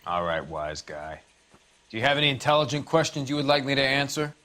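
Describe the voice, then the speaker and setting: A man's voice: medium pitch, a little fast, sharp tone, close to an everyday voice. An actor playing a testy, guarded American man in a 1940s crime video game, terse and a little smug. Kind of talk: dramatic video game acting.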